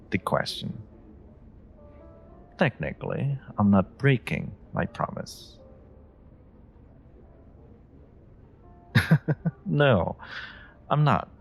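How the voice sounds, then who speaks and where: A man acts out a confident, flirty stranger in a romantic audio roleplay. His low voice has a gravelly creak and swings expressively as he teases and charms the listener in a playful, coy way. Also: heavily acted. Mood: playful, teasing. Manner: teasing, coy, unhurried, murmuring endearments. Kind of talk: romantic audio roleplay, one-sided dialogue addressed to the listener.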